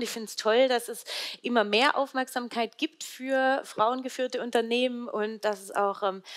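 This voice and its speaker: A middle-aged woman speaks as a guest on a stage panel. Her voice is medium in pitch with a crisp, bright edge and a slight Swabian accent. The delivery is conversational, picked up by a headset microphone. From Stuttgart area, southern Germany. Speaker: panel guest, company founder. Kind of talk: conversational panel answer about career. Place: indoor event stage.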